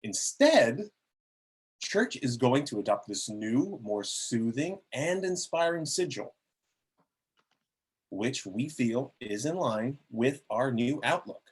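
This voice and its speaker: A man acts out a glib cardinal giving a public speech at a table read. His voice is middle-pitched and husky. He addresses the crowd in an oratorical, salesmanlike way. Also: lively intonation, slow. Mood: persuasive. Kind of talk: amateur script table reading of a public speech.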